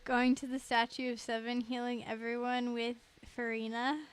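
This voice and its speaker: Woman, slightly high voice, casual gaming stream chatter, giggly, rambling, self-deprecating. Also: flat delivery, normal speed, clear, resonant tone. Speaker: livestreamer. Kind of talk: casual gaming livestream chat.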